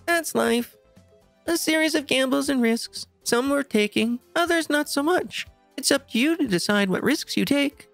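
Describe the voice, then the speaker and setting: A woman's voice: high voice, expressive, normal speed, gravelly, no room sound, faint music behind. A woman playing a cocky young boy, an older brother, in an audio drama. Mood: teasing.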